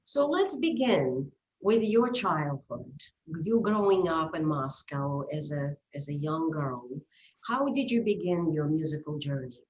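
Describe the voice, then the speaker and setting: Polite woman host, low-voiced. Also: lively intonation, a little slow, reverberant, a little hiss or hum, poor audio. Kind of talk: formal interview introduction.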